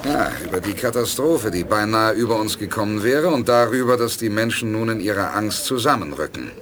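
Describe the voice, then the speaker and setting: A man playing a calm, resolute space commander in a 1980s German radio play, firm and earnest in his delivery. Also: lowish voice, a little fast. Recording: noisy 1980s radio drama production.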